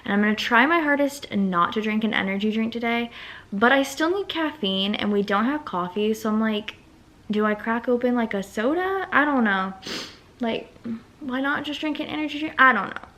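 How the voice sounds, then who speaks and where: A young woman chatting casually at home in a vlog, rambling and thinking out loud about her day and small business work. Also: a little high, lively intonation, normal speed. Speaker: vlogger and small business owner.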